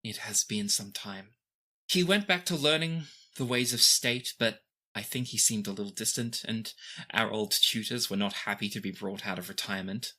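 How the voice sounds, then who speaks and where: A performer voicing a young teenage princess who is gentle, guarded and composed, with formal, measured phrasing in a fantasy tabletop game. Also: medium pitch. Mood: reserved, formal.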